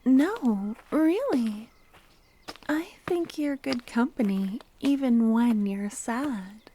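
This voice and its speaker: A woman playing a loving, obsessive cultist kidnapper in a soft-spoken ASMR roleplay, sweetly menacing, breathy and often whispering. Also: on the high side, expressive, slight background noise. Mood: soft, consoling. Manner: slow, tender, intimate coaxing. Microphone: close ASMR microphone.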